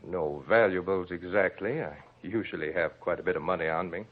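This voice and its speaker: A tinny, narrow old radio recording of an American husband. His low, rough voice is offhand and placating, in vintage radio drama style. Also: a little fast.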